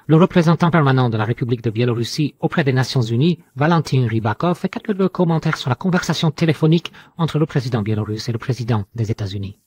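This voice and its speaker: A man delivers scripted news narration in a formal, measured manner. His voice sits at an average male pitch with a husky, less polished texture. The sound is dry, with no room around it. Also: animated, a little mellow, no room sound. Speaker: news reader.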